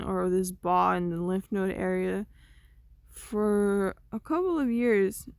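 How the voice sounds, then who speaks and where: A young woman vlogging, talking through her thoughts in a slow, meandering, conversational way that often trails off. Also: medium pitch, dry recording, clean studio sound. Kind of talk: rambling personal monologue.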